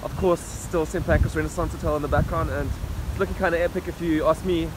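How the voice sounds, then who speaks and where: A man in his thirties filming himself at arm's length outdoors in the rain, talking upbeat and quick about his photo setup. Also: on the high side, fairly even intonation. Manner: upbeat, quick, talks to camera while filming. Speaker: photography vlogger. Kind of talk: casual outdoor vlog talk. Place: rainy city rooftop and street at night, outdoors. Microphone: camera held at arm's length. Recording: loud wind and traffic noise outdoors. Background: loud wind, rain and traffic.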